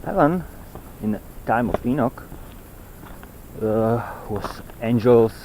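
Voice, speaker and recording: A man who is not a native English speaker, rambling haltingly as he vlogs on a walk, searching for words and repeating himself. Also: medium pitch, animated. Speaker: vlogger. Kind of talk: rambling walk-and-talk monologue on ancient texts. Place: outdoors on a mountain trail. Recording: noisy outdoor handheld recording with clicks.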